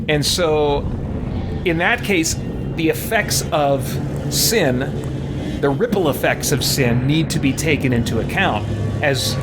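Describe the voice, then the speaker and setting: A man's voice: medium pitch, noisy background. A man hosting a gaming channel, giving casual, reflective commentary in a thoughtful, hedging, discursive way. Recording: loud music behind the voice. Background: loud game music.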